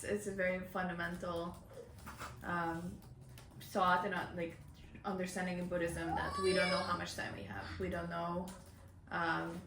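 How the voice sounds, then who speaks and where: Женщина, далеко от микрофона, гулкая комната. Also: female voice, fairly low pitch. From Hungary, raised in Finland. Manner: thoughtful, calm, frequent ums. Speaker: interviewee. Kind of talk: casual interview answers. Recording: distant mic in echoey room with noise.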